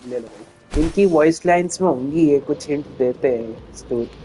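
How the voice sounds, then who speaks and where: A man's voice: fairly high pitch, very fast, warm tone, faint music behind, rough recording. A man who natively speaks Hindi, giving casual, informal live commentary as a game streamer. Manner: informal, addresses viewers as brother.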